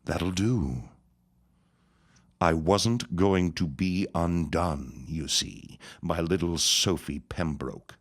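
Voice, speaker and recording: An actor playing a sinister, scheming small-town reverend in an audio drama, gloating and menacing. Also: male voice, exaggerated voice. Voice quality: deep, slow, drawn-out menace. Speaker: Reverend Gaumont. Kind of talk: radio drama acting.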